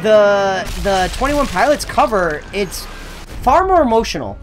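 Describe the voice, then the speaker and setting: A man's voice: sits high, sing-song, a little slow, music in the background. A young man co-hosting a game playthrough, talking casually with lots of fillers and swearing. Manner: rambling, swears freely, many fillers. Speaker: let's play co-host. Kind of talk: casual chat over gameplay.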